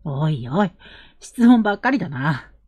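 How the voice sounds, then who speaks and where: Woman acting husky, earnest young elder brother. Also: sits low, very animated, moderate pace, darkish tone, dry recording, studio quality, heavily acted. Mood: gentle, serious. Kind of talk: Japanese period audio drama acting.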